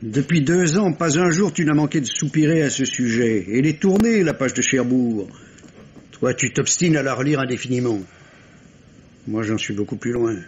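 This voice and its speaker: A middle-aged French surgeon husband played by a male actor, cool, formal and impatient, in 1950s radio drama style. His mid-pitched voice speaks with clipped exasperation. The old recording is dull, with some background noise. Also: normal speed, a little mellow, lightly acted. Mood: irritated.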